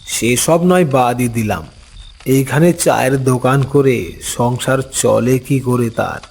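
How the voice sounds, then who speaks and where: A man narrating a scripted first-person story as an uncle, with unhurried, expressive dramatic delivery. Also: medium pitch, gravelly, husky.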